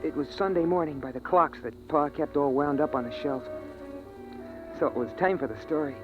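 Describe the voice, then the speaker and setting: A man's voice: on the high side, moderate pace, lightly acted. A young male actor playing an earnest, wondering boy in a 1950s radio drama, with plain, homespun phrasing. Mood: reflective. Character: earnest, wondering young boy narrating a bleak frozen world. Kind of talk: 1950s radio drama acting and first-person narration. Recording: tinny vintage radio recording with music.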